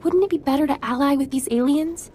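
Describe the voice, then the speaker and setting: A woman's voice: high voice, fast, mellow, a little hiss or hum, close to an everyday voice. An actress playing an earnest, conscientious young settler woman in a game cutscene. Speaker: voice actor in a video game. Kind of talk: scripted game cutscene dialogue.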